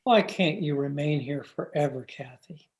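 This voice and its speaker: An actor playing a gentle, adoring young gentleman in a radio drama, tender, earnest and reassuring. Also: medium pitch, expressive, normal speed, music in the background, close to an everyday voice. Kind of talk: old-time radio drama acting.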